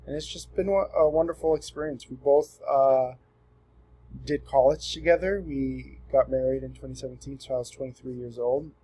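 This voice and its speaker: Mellow, soft-edged young man from Southern California. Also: mid-range voice, normal speed, slightly rough, poor audio. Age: 20s. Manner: relaxed and earnest, with frequent ums and restarts. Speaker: student presenting himself. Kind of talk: casual self-introduction for a class assignment.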